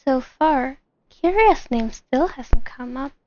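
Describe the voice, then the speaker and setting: A woman's voice: high-pitched, expressive, takes it slowly, darkish tone. A woman game streamer reacting casually as she plays, on a dull lo-fi mic. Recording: dull lo-fi mic, faint noise, clicks.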